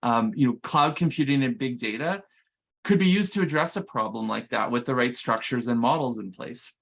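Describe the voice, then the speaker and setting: A man's voice: mid-range voice, moderate pace, gravelly, fairly bright tone. Man giving a conversational conference talk. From Canada. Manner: conversational, many restarts and fillers. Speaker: conference speaker. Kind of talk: conference presentation.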